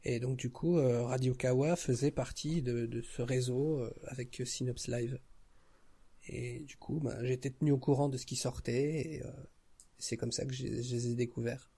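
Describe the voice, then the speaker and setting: A man's voice: mid-range voice, steady tone, normal speed, dark tone, husky. A man talking casually as a podcast guest, hesitating as he searches for words. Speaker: podcast guest, audio fiction creator. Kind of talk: casual one-on-one chat.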